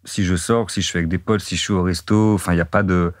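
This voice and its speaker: A young man from northern France, chatting casually in an interview, relaxed and repetitive with many fillers. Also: sits low, fast, dry recording. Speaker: dating-profile guest.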